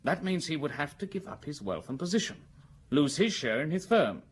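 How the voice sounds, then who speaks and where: An actor playing an imperious, snobbish magistrate in a British radio drama, with a pompous, exasperated manner. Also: male voice, on the high side, big pitch swings, normal speed. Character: impatient, snobbish examining magistrate, prejudging and imperious. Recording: noisy radio drama recording.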